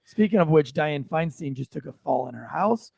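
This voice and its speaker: A man's voice: fairly high pitch, lively intonation, normal speed, creaky, a little mellow, husky, no room sound. An American man co-hosting a podcast with casual, blunt, opinionated rants, repeating phrases for emphasis. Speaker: podcast co-host. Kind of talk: casual, profane opinionated banter.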